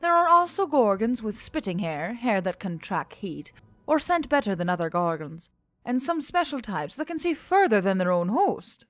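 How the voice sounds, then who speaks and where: A woman voicing a quiet, soft-spoken female gorgon pilot who talks in measured, formal phrases in an audiobook. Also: mid-range voice, very animated, no echo, soft background music, lightly acted. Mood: informative.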